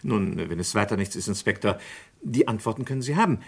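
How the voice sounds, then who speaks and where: An actor playing a cultivated, evasive doctor under suspicion in a German crime radio play, formal, measured and self-righteous. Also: male voice, fairly low pitch, animated, gravelly, breathy edge, exaggerated voice. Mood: guarded courtesy.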